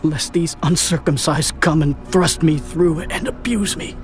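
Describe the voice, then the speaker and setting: A man's voice: on the high side, measured pace, soft background music, lightly acted. A man playing a wounded, desperate ancient king in dramatized scripture. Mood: desperate, pained.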